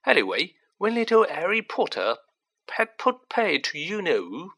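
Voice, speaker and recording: A storyteller voicing a gossipy, excitable young working-class man who talks colloquially and dramatically, in an audiobook reading. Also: medium pitch, moderate pace, voice pushed up, full character voice. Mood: dramatic, eager. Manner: colloquial, dramatic, dropped grammar, tag questions.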